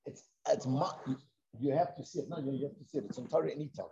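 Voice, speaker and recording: A man teaching an informal Torah discussion, excitable, repeating himself and mixing in Hebrew and Yiddish terms, on a dull lo-fi recording. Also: medium pitch, quick pace, creaky, a little hiss or hum.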